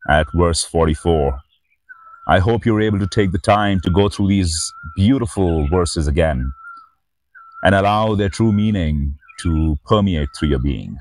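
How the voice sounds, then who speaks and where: A middle-aged man reading and commenting on scripture as a spiritual teacher, in a measured, reverent manner. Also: deep voice. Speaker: spiritual teacher and channel host. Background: background music.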